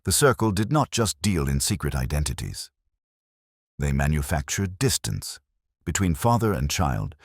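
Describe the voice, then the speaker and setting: A man voicing a brooding, cerebral gentleman detective in an audiobook, grave and deliberate. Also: extremely low pitch, no echo, clean studio sound, exaggerated voice. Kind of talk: audiobook character reading.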